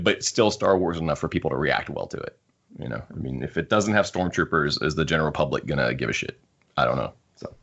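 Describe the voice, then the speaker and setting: A man with a deep voice hosts a casual book discussion. He often restarts his phrases as he works toward a point. The recording is a little dull and lo-fi. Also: fairly bright tone. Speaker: podcast host. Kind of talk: casual discussion of sci-fi books.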